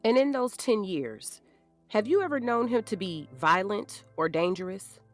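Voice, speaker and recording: A defense attorney questions a witness in a mid-pitched, poised voice, as an actress plays her. Her delivery is formal and controlled. Faint music runs under this courtroom drama. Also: very animated, a little slow. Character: composed female defense attorney.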